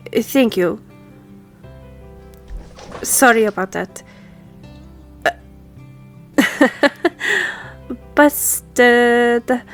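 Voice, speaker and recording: A woman playing a self-assured, friendly law firm boss in an audio roleplay, talking to the listener in a composed, personable way. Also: fairly high pitch. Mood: busy, sheepish.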